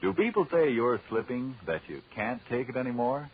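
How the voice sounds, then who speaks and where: A man working as a 1940s radio announcer, delivering polished, emphatic sponsor pitches. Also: animated, normal speed. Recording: muffled, husky-sounding 1940s radio recording.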